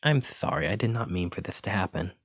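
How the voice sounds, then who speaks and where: A man's voice: sits low, lively intonation, a little fast, husky, dry recording. A man voicing a clumsy, kindly wizard in an ASMR roleplay, courteous and apologetic, stammering when flustered. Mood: apologetic, worried. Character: clumsy, kindly wizard bookseller teaching magic. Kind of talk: ASMR roleplay addressed to listener.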